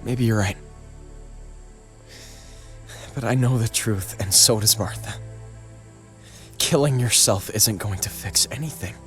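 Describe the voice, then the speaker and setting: A man's voice: lowish voice, moderate pace, soft background music, lightly acted. Actor playing an intense, desperate young man. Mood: grave, pleading. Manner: foul-mouthed, emotional, confrontational. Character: angry, desperate young man confronting horrors, searching for his sister. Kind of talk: dramatic video game cutscene acting.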